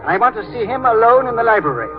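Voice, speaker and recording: An actor playing a shrewd, courtly older British statesman with dry wit, husky and theatrical, in 1930s radio drama style. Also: very high voice, very animated. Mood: secretive, eager. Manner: urbane, theatrical, wryly deliberate. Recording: muffled 1930s radio recording, faint music.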